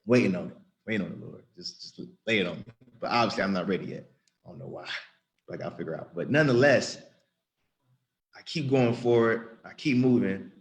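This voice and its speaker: A man's voice: fairly low pitch, fairly quick. Earnest man around thirty testifying. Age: about 30. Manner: earnest, rambling, with frequent restarts and repetition. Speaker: church member giving testimony. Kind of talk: spontaneous spoken testimony.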